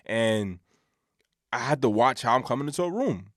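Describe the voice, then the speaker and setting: A deep-voiced man co-hosting a casual podcast chat, relaxed and repeating words as he searches for his thoughts. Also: moderate pace, no echo. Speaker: podcast co-host. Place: hot room in a building.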